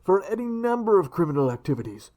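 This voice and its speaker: A man doing a high, dark-toned masked hero from afar. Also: very animated, fast talker. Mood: firm. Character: gruff male masked hero, terse and ready.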